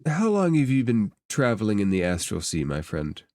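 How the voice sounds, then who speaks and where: A man voicing a courteous, enigmatic stranger in a tabletop space fantasy game, formal, unhurried and ingratiating. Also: on the low side, wildly swooping pitch, a little mellow, no room sound, clean studio sound. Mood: courteous, measured.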